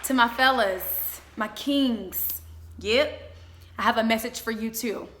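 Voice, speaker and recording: A woman in her twenties giving a motivational faith talk, speaking in an emphatic, exhorting way with deliberate repetition. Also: a little high, a bit bright, a faint crowd. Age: 20s.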